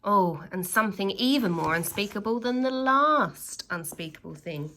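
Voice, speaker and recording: Woman storyteller for kids, really animated, bright voice, bit of creak, stretches out the big words. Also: medium pitch, a little hiss or hum. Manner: theatrical, drawn-out emphasis on key words. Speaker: teacher reading a children's book aloud. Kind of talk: expressive children's storytelling.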